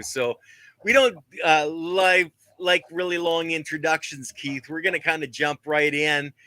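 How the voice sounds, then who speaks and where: Guy from alberta hosting a podcast, kinda high voice, bright and dry, chatty. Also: dry recording. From Alberta, Canada. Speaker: podcast host. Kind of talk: casual interview.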